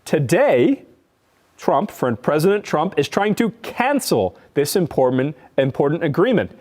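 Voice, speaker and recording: A man in his thirties reporting on a news discussion show, halting and emphatic, repeating phrases as he reads out and explains a report. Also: a little high, sing-song, a little mellow. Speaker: news correspondent. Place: television studio.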